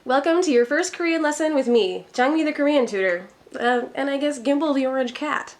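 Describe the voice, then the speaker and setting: A woman's voice: high-pitched, lively intonation, a little fast. A woman from Minnesota teaching a Korean lesson in an upbeat, friendly tone. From Minnesota, USA. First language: English. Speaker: Korean tutor. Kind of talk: scripted educational narration.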